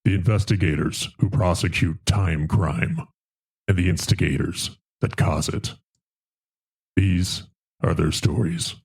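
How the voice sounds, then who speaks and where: A man playing a solemn announcer for a crime show parody intro, speaking with portentous deliberation and a husky voice. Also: low-pitched, steady tone, unhurried, long pauses, darkish tone, heavily acted. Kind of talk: scripted narration.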